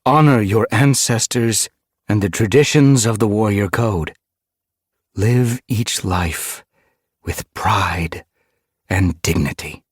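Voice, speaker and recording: A man's voice: fairly low pitch, slow pace, husky, dry recording, studio quality, voice pushed up. A man voicing a solemn starry spirit cat that grants leadership in a grand, ceremonial storybook manner. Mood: solemn, reverent. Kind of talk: audiobook character voice.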